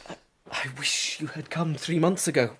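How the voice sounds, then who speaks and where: A man's voice: fairly high pitch, normal speed, a bit bright, slightly rough. A man playing a sorrowful, earnest younger brother with formal phrasing in a dramatised audiobook.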